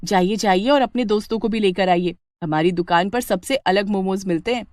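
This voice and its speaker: A woman voicing a determined, hopeful young village daughter-in-law, earnest and expressive, in a moral story. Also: mid-range voice, a little fast, no room sound, clean studio sound, natural voice. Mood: cheerful. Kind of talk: dramatic Hindi moral-story voice acting.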